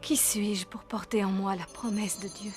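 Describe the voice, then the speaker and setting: A devout young woman of the Bible, voiced by an actress, speaks earnestly and reverently in a mid-pitched voice. Loud music plays behind her in this animated drama. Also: normal speed, close to an everyday voice.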